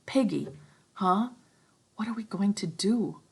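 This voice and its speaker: A woman's voice: slow pace, creaky, a little mellow, slightly rough, heavily acted. A woman voicing a shaken, guilt-stricken boy leader in a read-aloud novel. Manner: low, stricken, troubled.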